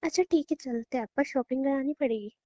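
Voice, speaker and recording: A woman's voice: sits high, brisk, warm tone. A young woman bantering playfully with her boyfriend in a casual phone call. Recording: dull lo-fi call recording.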